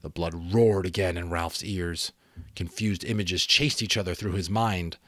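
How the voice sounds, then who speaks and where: A man's voice: deep voice, steady tone, unhurried, fairly bright tone, no echo, studio quality. A man narrating an audiobook in a dramatic, literary storytelling style.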